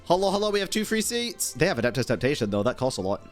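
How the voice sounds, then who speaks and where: Animated man streaming a game. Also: a little high, quick pace, soft background music. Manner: casual, sweary, reactive. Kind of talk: casual live gameplay commentary.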